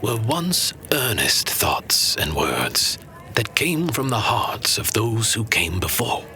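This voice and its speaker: A man playing a wise old master who tells legends to a pupil in a solemn, measured, hushed fantasy storytelling style. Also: fairly low pitch, big pitch swings, faint music behind, heavily acted. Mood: solemn, reverent.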